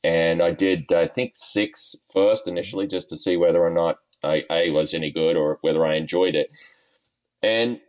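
A middle-aged Australian man with a very low voice talks golf in a relaxed, rambling way. He fills pauses with ums and uhs as he tells his stories. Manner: easygoing, lots of ums and uhs, chuckles often. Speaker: golf coach and guest. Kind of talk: podcast interview, relaxed storytelling.

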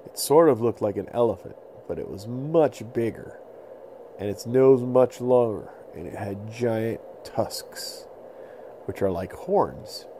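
A man telling an improvised bedtime story to children in simple, explanatory phrases with pauses. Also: mid-range voice, warm tone, slight background noise, low-quality recording. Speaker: podcast storyteller.

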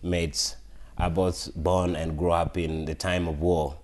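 A man in his thirties with a Ugandan accent, a guest speaking softly in a casual interview and laughing often. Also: deep voice, little pitch movement, slow. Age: 30s. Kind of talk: casual conversation.

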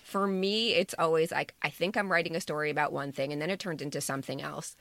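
A woman's voice: deep voice, fairly even intonation, fast, a bit bright, no echo. A woman in her fifties, a podcast co-host and writer, talking casually and reflectively, repeating words for emphasis. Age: 50s.

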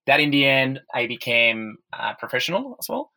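A man's voice: medium pitch, very animated, sharp tone. A man from Spain with a Spanish accent, a podcast guest speaking English, often restarting his sentences. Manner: halting, with repeated restarts. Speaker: guest, software sales executive.

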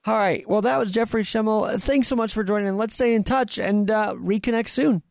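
A man's voice: high voice, normal speed, creaky, no echo, studio quality. A man from New York hosting an interview show, asking questions in a casual, conversational way.

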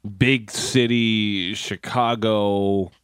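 A man's voice: fairly low pitch, fairly even intonation, extremely slow, clean tone, no room sound, clean studio sound. A man with a Canadian prairie accent co-hosting a morning sports radio show in a laid-back, agreeable way. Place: radio studio. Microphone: studio microphone.

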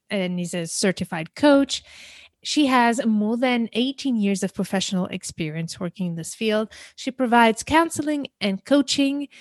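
A woman's voice: a little high, no room sound. A woman hosting a podcast interview, upbeat and enthusiastic, introducing her guest. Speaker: podcast host.

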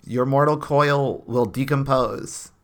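A man's voice: mid-range voice, steady tone, slow pace, a bit bright. A man co-hosting a podcast in casual banter, with a dry, wry sense of humor. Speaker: podcast co-host.

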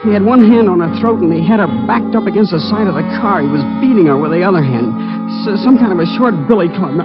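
An actor playing a shaken young man who was beaten, speaking in a halting way in a 1940s radio crime drama. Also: high voice, expressive. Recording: dull lo-fi 1940s radio broadcast with music.

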